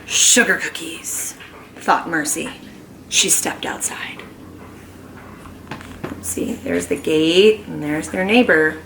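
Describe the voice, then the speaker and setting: A woman who teaches kindergarten, reading a children's book aloud at home in an animated, expressive way. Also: low voice, gravelly, background noise. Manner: animated, slow and expressive storytelling.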